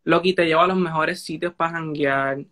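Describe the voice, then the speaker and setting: A man in his twenties with a Puerto Rican accent, joining a livestream over a phone video call, chatting in a warm, quick way. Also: a little high, fairly even intonation.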